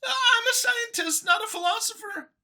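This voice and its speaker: A woman's voice: extremely high pitch, moderate pace, pushed and bright, voice pushed up, heavily acted. A woman voicing a nervous, earnest female alien mouse scientist in an audiobook, with a flustered, eager way of talking. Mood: flustered, defensive. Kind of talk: acted audiobook character.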